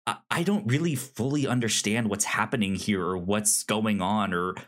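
A man co-hosting a film podcast, reflecting casually in a halting, stammering way that repeats words. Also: fairly low pitch, fairly bright tone. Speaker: podcast co-host.

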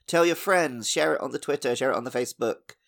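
A man's voice: medium pitch, fast talker, gravelly, a bit bright. Male podcast co-host, thirties, mid-range voice, informal gaming chat, playful delivery with mock dialogue. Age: 30s.

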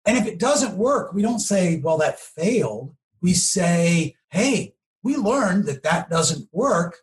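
A man explaining things conversationally as an interview guest, emphatic and often checking in with a quick "okay?" or "right?". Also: sits high, very animated. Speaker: podcast guest, marketing professional.

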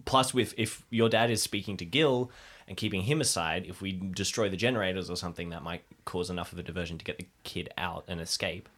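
A man's voice: sits low, fairly quick, few pauses, fairly bright tone. A man playing an eager, flustered park ranger in an improvised audio drama, earnest and prone to repeating himself. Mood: flustered, planning.

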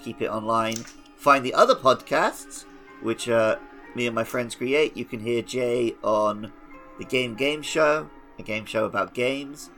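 A man's voice: mid-range voice, pushed and bright. A young man co-hosting a casual gaming podcast, chatty and jokingly scolding his listeners. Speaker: podcast co-host.

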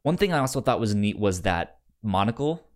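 A man co-hosting a podcast in a casual book discussion, speaking haltingly and often repeating sounds and words as he goes. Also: fairly low pitch, sing-song. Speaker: podcast co-host.

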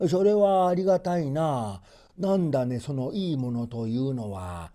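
Storybook grandpa, male performer: hearty, easily amazed, mellow tone, drawn-out exclamations. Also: medium pitch, lively intonation, close to an everyday voice. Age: older. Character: good-natured, easily amazed old man of a folktale. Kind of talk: storybook character voice.

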